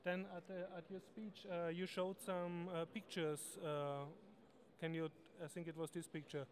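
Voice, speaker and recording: A man's voice: a little high, level tone, measured pace. A man interviewing a girl, often restarting and rephrasing his questions. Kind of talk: casual interview.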